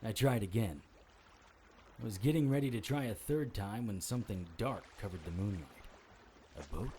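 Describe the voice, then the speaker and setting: An actor playing a wry, world-weary supernatural investigator, narrating a noir audio drama in clipped, deadpan phrases. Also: male voice, lowish voice, moderate pace, a little hiss or hum, lightly acted. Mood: strained, grim.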